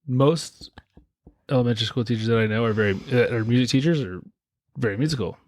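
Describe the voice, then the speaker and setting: A man co-hosting a podcast in an easy, joking way. His voice sits at an ordinary middle pitch, and he slows down to build suspense as he tells the story. It is recorded close and dry, with no room sound. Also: darkish tone, no room sound. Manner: wry, teasing, builds up the story dramatically. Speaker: podcast co-host. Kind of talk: casual chat, storytelling.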